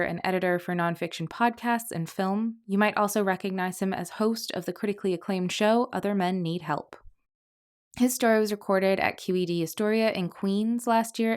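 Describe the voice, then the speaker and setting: A woman reading a scripted introduction as a podcast host. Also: medium pitch.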